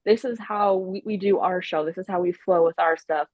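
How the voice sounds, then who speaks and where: A woman with a stutter chats loosely on a podcast, repeating sounds and restarting words. Her voice sits low and comes through a dry, slightly dull line. She is frank and swears without fuss. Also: moderate pace, no echo. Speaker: podcast guest, stand-up comedian. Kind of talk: casual chat.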